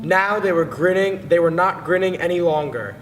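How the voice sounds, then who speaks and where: A man's voice: high-pitched, animated, moderate pace. A man giving a solemn, dramatic narration of a literary story. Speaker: dramatic reader. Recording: distant, long reverb, music behind.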